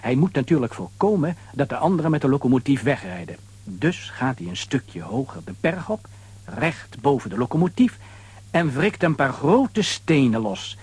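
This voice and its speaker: A man narrating a scripted children's adventure story with a husky voice. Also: medium pitch, sing-song, moderate pace, darkish tone.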